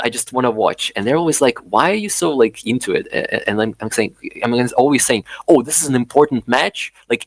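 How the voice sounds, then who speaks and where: Russian guy, low voice, lots of likes and uhs, dry sound. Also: no room sound. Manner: hesitant, many fillers and restarts. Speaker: guest, linguistics professor. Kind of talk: casual conversation.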